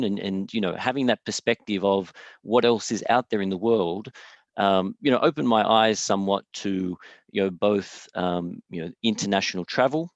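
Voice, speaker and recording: Hesitant Australian man, very low voice. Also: no room sound. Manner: hesitant, many fillers and repeated words. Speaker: guest speaker. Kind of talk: online lecture. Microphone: video call. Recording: dry, slightly dull video call audio.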